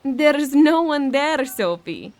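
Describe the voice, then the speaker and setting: An actress plays a hard-edged Bulgarian woman in a horror audio drama. She is sardonic under a sugary, coaxing surface, and her voice sits fairly high. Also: animated, slow pace, a little mellow. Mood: puzzled. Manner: coaxing, wry. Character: tough, sardonic Bulgarian woman minding a child, falsely sweet.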